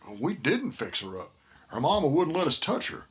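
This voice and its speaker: A man playing a casual, callous undertaker's assistant in radio drama, offhand and gruff. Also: on the high side, sing-song, fairly quick, exaggerated voice. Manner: offhand, gruff shop talk. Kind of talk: live radio horror drama acting.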